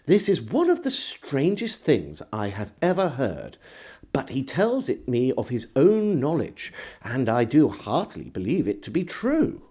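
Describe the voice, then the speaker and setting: A man reading a historical diary aloud as a storyteller, phrasing it expressively and measuredly, with deliberate pauses. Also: mid-range voice, wildly swooping pitch, mellow, breathy edge. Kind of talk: dramatised audiobook narration of a 17th century diary.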